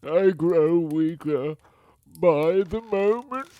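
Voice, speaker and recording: A man voicing a grumpy, self-pitying big bear with a cold for a children's storybook, raspy and growling, with pompous, drawn-out complaining. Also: on the high side, dry recording, narrow, tinny audio, pitched above the performer's own voice. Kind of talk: children's storybook read-aloud.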